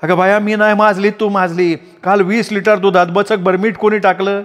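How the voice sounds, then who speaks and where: A man voicing a quarrelsome village woman who scolds in rapid, shrill taunts. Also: flat delivery, long reverb tail, natural voice. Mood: scolding. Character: quarrelsome village woman, sister-in-law Dhabi.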